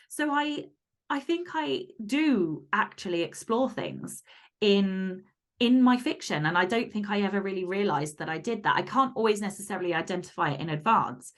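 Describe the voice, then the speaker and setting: A woman chatting candidly as an interview guest, speaking in an emphatic, blunt and sweary way. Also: mid-range voice. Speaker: podcast guest, fiction author. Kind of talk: casual, candid interview chat.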